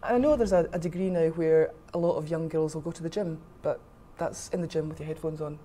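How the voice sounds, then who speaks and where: A woman's voice: low voice, fairly even intonation, a little fast, warm tone, slight background noise. A middle-aged woman from the west coast of Scotland with a west of Scotland accent, speaking candidly and with firm opinions in an informal interview. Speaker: interviewee, rugby official.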